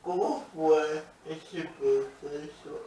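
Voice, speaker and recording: A man's voice: a little high, extremely slow, mellow. A man hosting an interview, asking questions in a friendly, questioning way. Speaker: interviewer host. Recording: roomy, echoey, from a distance, faint noise.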